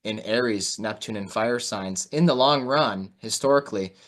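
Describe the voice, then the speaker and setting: Male podcast host and market analyst, lower register, informal conversational delivery on a video call. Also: normal speed, fairly bright tone. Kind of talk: conversational market commentary and interviewing.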